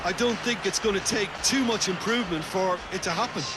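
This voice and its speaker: A man's voice: high voice, a little fast, close to an everyday voice. A man playing an analyst co-commentator in a football video game, explaining play in a conversational way. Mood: reflective. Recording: loud stadium crowd noise over voice. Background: roaring stadium crowd.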